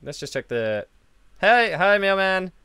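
A young man hosting an improvised game roleplay, talking in an excitable, jumpy way and quick to exclaim. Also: high voice, clean tone, no echo, studio quality. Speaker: roleplay host playing a hotel owner. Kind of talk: improvised game roleplay, voiced over gameplay.

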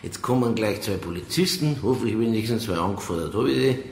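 A dogged, hard-drinking Bavarian detective in a radio crime play. His voice is gravelly and moves around freely in pitch, with roomy echo and faint noise. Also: expressive, brisk, a little mellow. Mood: insistent, unbothered. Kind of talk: radio crime drama acting. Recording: echoey room, faint background noise.

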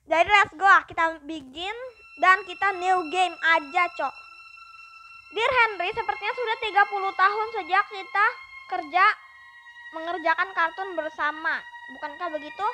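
High, bright chatty gaming commentator. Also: female voice, normal speed, slight background noise. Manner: chatty, upbeat, keeps addressing viewers. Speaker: gaming YouTuber. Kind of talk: casual let's-play commentary.

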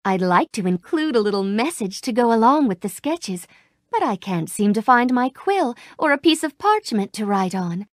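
A woman voicing a gentle young princess in a game, with a soft, sweet, refined voice and a polite, gracious way of talking, recorded close in a studio. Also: a little high, big pitch swings, moderate pace, no echo. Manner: polite, gracious, warmly grateful. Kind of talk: game voice clips. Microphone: close studio mic.

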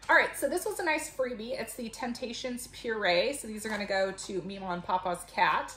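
A woman's voice: on the high side, fairly quick, fairly bright tone. A woman in her thirties chatting casually and explaining things to camera as a home vlogger. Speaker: vlogger, mother showing a grocery haul. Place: home kitchen, indoors. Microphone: camera a few feet away. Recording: echoey kitchen, noisy, clicks and rustling.

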